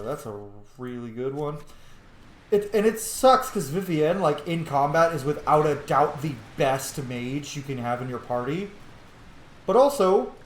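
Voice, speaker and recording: A man's voice: medium pitch, fairly bright tone. A man chats his way through a video game in a casual, meandering style with plenty of swearing. His voice sits in an average register, in a roomy, echoing space with faint noise behind it. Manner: rambling, profane. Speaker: gameplay streamer. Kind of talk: casual gameplay commentary. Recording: roomy echo, some background noise.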